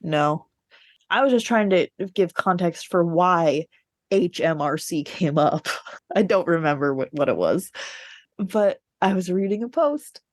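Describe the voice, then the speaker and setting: A woman chatting casually as a podcast co-host, telling things in an animated, digressive way. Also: on the low side, dry recording. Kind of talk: casual book discussion chat.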